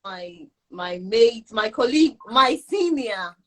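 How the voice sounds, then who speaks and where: A young woman from Ghana, a guest in a casual live video chat, talkative and pausing to search for words. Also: fairly high pitch, lively intonation. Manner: chatty, searching for words with fillers.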